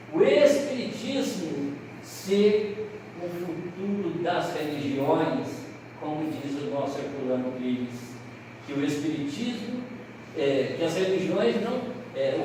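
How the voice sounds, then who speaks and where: A Brazilian man giving a seminar talk, an animated storyteller who pauses on words and corrects himself aloud. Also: a little high. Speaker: lecturer at a Spiritist seminar. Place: lecture hall. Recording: echoey hall, voice from far off, faint hiss.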